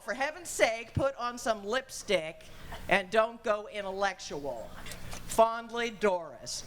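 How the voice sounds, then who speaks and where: Casting: woman as sardonic young single woman for radio comedy; forceful, very animated, theatrical and exasperated. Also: mid-range voice, slight background noise. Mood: sardonic reading. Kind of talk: comic radio play acting.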